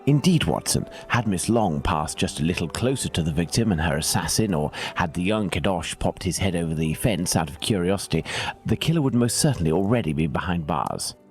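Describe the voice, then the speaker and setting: Victorian detective, low voice, British accent, measured formal delivery, analytical, game drama, music behind. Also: male voice, expressive. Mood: analytical, composed. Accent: British English. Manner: measured, formal, precise, didactic. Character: calm, analytical middle-aged male Victorian English detective. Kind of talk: dramatic video game dialogue.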